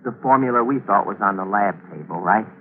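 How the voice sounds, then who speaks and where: A thin, noisy old radio recording carries a low male voice, an actor playing a cold, calculating murderer. He confides his scheme like a narrator and hands out clipped orders, in 1940s mystery drama style. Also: fast talker, phone-quality sound, close to an everyday voice. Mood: cold, commanding.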